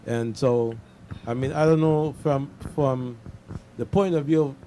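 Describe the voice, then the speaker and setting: A man hosting a casual radio interview, speaking haltingly and repeating phrases as he goes. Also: medium pitch, warm tone, slight background noise. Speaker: radio host. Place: radio studio.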